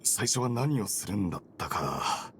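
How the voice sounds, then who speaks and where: A man's voice: fairly low pitch, sing-song, a little slow, fairly bright tone, slight background noise. A man voicing a weary, apologetic prisoner in an anime game, with a casual, placating and slightly hesitant manner. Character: weary adult male prisoner, placid, apologetic, evasive.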